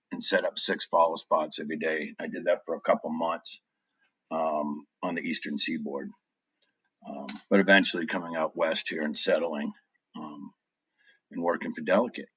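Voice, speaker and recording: Middle-aged guy with a real low voice, loose rambly chat, dry close sound. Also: moderate pace, slightly rough, no echo. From New Hampshire, USA. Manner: relaxed, rambling with many ums. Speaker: guest, tour director. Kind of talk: casual interview chat.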